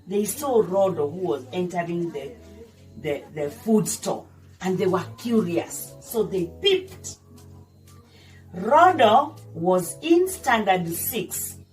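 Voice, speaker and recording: A woman's voice: on the low side, lively intonation, takes it slowly. A woman reading a children's story aloud as a teacher, explaining and repeating points in a didactic way for young listeners. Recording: distant microphone, music behind the voice. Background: music.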